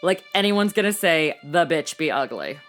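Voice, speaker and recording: A woman's voice: low-pitched, big pitch swings, fairly bright tone, faint music behind. An actress playing a flippant young American woman in her twenties with slangy valley-girl drawl, in a comedy audio drama. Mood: sassy, flippant. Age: 20s. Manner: slangy valley-girl talk with drawn-out words. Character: flippant modern twenty-something woman, sassy amateur detective.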